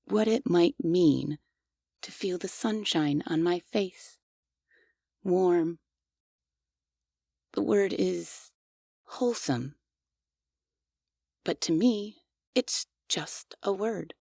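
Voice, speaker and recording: A devoted, gently obsessive maid robot, voiced by a woman in an ASMR roleplay speaks in a low, slightly gravelly tone. Her measured, unhurried phrasing stays soft and close. The sound is dry, with no room around it. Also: no room sound, heavily acted. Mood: softly apologetic. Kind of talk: scripted ASMR audio roleplay.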